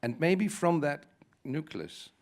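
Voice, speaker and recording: A man's voice: fairly high pitch, very animated, takes it slowly, a little mellow, slight background noise. A man speaking on a conference panel, reflective and thinking aloud in loose, searching phrases. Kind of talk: conversational panel discussion.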